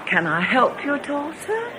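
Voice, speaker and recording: A woman's voice: high-pitched, wildly swooping pitch, moderate pace, noisy background. A woman in a radio drama playing a courteous, obliging shop assistant. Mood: polite. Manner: courteous, deferential.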